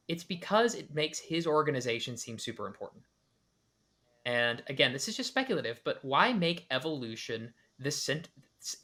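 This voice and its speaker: Male podcast host reacting to a clip, bright voice, analytical, lots of restarts. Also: a little high. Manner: analytical, with many restarts and hedged speculation. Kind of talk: reaction commentary.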